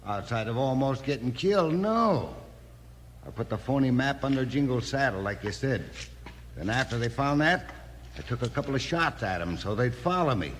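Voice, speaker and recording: A man's voice: mid-range voice, animated, normal speed, lightly acted. An actor playing a wary, sardonic outlaw henchman in a 1950s radio Western, tough and complaining. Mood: grumbling. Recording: reverberant cave effect, old noisy radio recording.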